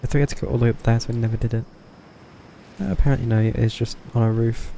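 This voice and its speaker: Gravelly, mellow male game streamer. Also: medium pitch, steady tone, racing, slight background noise. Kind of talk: casual live commentary while playing.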